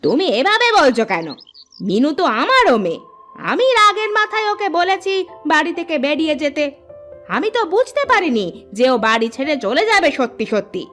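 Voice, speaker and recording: Brief: a sharp cartoon stepmother, high, lively, harsh. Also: fairly quick, soft background music. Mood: defensive. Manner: sharp and scolding.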